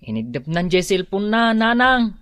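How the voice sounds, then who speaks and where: A woman's voice: medium pitch, normal speed. A woman playing a curious, chatty younger sister in a radio drama, with an Ilocano accent. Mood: coaxing. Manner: questioning, animated.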